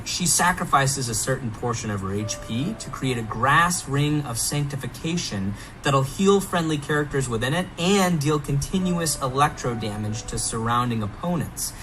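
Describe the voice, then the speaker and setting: A man's voice: medium pitch, sharp tone, a little hiss or hum, rough recording. A man giving a semi-scripted promotional game presentation in a playful, theatrically enthusiastic manner. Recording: music behind, played within a reaction video. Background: clear music.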